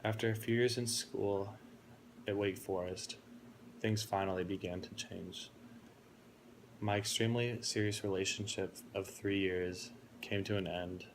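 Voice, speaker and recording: Low, flat-voiced young man giving a speech. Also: creaky, a little hiss or hum, low-quality recording. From Florida, United States. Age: 20s. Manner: earnest, steady, reflective. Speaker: college student giving a speech. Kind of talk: prepared speech of introduction.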